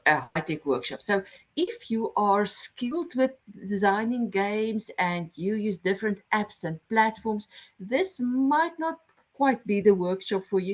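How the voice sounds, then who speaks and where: A middle-aged woman with a South African accent, facilitating an online workshop in a friendly, explanatory way with frequent ums and restarts. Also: medium pitch. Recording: slightly dull online call audio.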